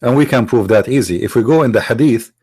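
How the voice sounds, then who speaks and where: A man whose first language is Arabic, from the Middle East, arguing in a sarcastic, mocking tone and slowing down to stress quoted phrases. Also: mid-range voice, a little mellow, husky, no echo. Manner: sarcastic, mocking rhetorical questions. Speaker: apologist speaker. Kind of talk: argumentative lecture.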